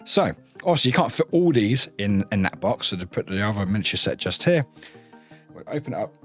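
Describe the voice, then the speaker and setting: A man's voice: lowish voice, very animated, brisk, a bit bright, soft background music. A man giving a casual, enthusiastic, rambling unboxing commentary as a review host.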